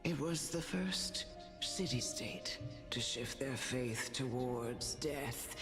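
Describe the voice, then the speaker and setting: An actress playing a weary, kindly elderly storyteller spirit in a video game, with a grave, halting, breathy delivery. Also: female voice, a bass voice, full character voice. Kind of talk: scripted video game voice acting. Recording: game audio under clear music.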